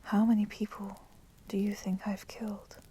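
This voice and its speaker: A woman voicing a proud, resentful female vampire in a whispered ASMR roleplay, speaking softly and deliberately in formal, menacing phrases. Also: mid-range voice, little pitch movement. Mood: bitter, proud. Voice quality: soft, breathy whispering. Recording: constant crackling fire noise behind voice. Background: crackling fire.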